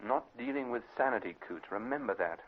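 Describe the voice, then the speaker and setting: An actor playing a shrewd British private detective in a radio drama, measured, analytical and authoritative. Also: male voice, mid-range voice, animated, lightly acted. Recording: narrow-band vintage radio recording.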